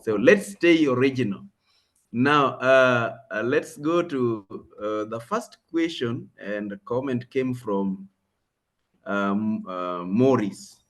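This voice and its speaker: Kenyan man moderating, halting and conversational. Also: medium pitch. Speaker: session host and moderator. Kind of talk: conversational moderating of an online talk.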